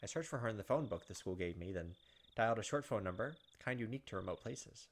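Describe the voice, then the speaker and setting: A man's voice: lowish voice, expressive, fast. A man reading a visual novel aloud in a dramatic first-person way, with casual asides as a gaming host. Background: game music.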